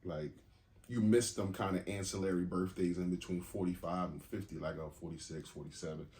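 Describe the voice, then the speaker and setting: Candid, low-voiced man in his forties. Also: fairly even intonation, fairly quick, a little mellow. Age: 40s. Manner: candid, profane, laughs easily. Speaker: podcast co-host. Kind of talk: casual chat.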